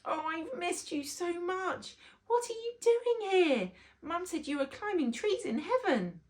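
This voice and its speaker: Woman voicing an excitable, high-pitched young boy. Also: measured pace, full character voice. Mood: amazed, excited. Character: excitable, anxious nine-year-old boy. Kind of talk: children's storybook character voice.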